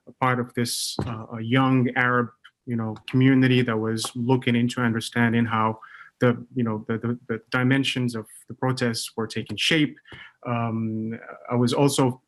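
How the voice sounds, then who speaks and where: Man, from Morocco, thirties, panel guest, hesitant, many fillers, mid pitch. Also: a bit bright. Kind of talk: casual panel chat.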